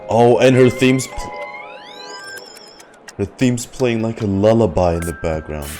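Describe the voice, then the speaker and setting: A woman's voice: very deep voice, animated, a little slow, dark tone, soft background music. A woman streamer giving casual reaction commentary on a game and reading its text aloud.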